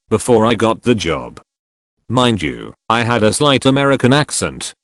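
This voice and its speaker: A man with a fairly low voice reads online stories aloud in a wry, storytelling way. His pitch moves a lot through each sentence. The sound is dry and close. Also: no room sound. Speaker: narrator.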